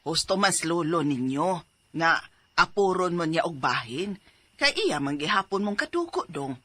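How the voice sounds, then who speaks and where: Animated, assertive aunt. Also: female voice, fairly low pitch, moderate pace, exaggerated voice. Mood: advising. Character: assertive aunt urging her nephew to fight.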